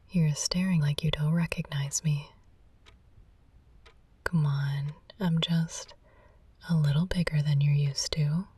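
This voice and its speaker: A woman playing a possessive, quietly controlling therapist in an intimate ASMR roleplay, speaking softly and coaxingly. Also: sits low, husky, exaggerated voice. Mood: tender, possessive. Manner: soft, unhurried, coaxing.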